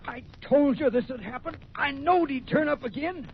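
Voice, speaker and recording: An actor playing a grouchy, excitable prospector in an old-time radio western, with a folksy rustic drawl and blustering, indignant manner. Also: male voice, very high-pitched, normal speed. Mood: agitated. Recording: muffled, noisy old radio recording.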